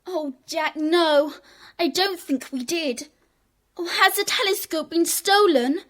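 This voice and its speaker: A woman playing an excitable, indignant schoolgirl sleuth in a children's audio drama. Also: very high-pitched, slow pace, no room sound, exaggerated voice. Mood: dismayed.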